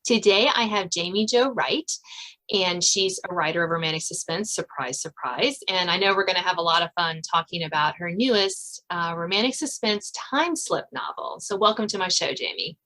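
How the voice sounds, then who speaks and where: A woman hosting a casual interview podcast, feeling her way through what she says in a halting, rambling manner. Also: fairly low pitch, sharp tone, rough recording. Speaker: podcast host.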